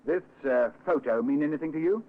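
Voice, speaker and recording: A man portrays a businesslike detective sergeant questioning witnesses in a radio play. His voice sits slightly high, with clipped phrases and weighed pauses. The old recording is tinny with faint noise. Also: lightly acted. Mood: probing. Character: probing, businesslike male police detective sergeant. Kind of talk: old-time radio drama acting. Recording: noisy narrow vintage radio recording.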